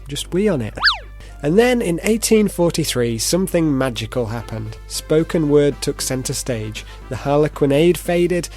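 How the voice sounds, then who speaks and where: A man's voice: fairly high pitch, warm tone. A man narrating a video essay in a playful, theatrical manner, with informal asides. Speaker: presenter.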